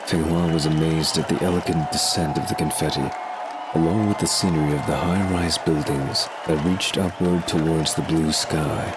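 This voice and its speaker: A man's voice: rumbling low, steady tone, moderate pace, a loud crowd, very noisy. A man narrating a scripted story in the present and past tense, as a narrator.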